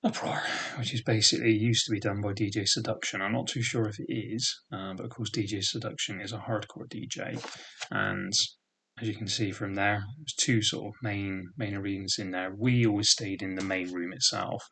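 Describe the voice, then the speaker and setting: A man's voice: lowish voice, steady tone, moderate pace, sharp tone, husky. A man in his thirties with a thick regional British accent, casually reminiscing in a rambling way. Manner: rambling, full of "sort of" and "um" fillers. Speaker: vlogger.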